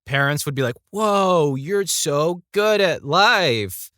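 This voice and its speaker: Guy on a podcast telling stories, bright voice, does little quotes, really dry close sound. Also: a little high, lively intonation, takes it slowly, dry recording. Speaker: podcast guest, voice actor. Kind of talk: casual anecdotal chat.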